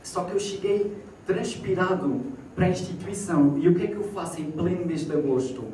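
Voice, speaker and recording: A man's voice: sits high, brisk. A young man in his twenties from Portugal with a European Portuguese accent, doing a stand-up comedy set. He tells his stories with animation and acts out the dialogue. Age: 20s. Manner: animated storytelling, acting out quoted dialogue. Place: small theater stage. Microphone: handheld mic, filmed from far off. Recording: echoey hall, distant camera, audience noise. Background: audience laughter.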